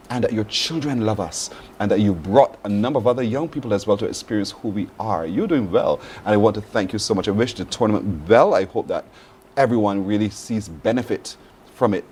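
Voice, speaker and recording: A man's voice: lowish voice, warm tone, slight background noise. A man with a Barbadian accent hosting a live TV morning show, chatty and encouraging, with frequent affirmations. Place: TV studio.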